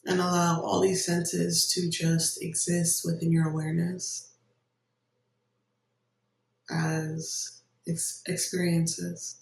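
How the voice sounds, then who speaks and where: A meditation guide giving gentle, unhurried instructions with long pauses between phrases. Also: mid-range voice, completely flat delivery, gravelly. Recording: roomy echo, distant microphone.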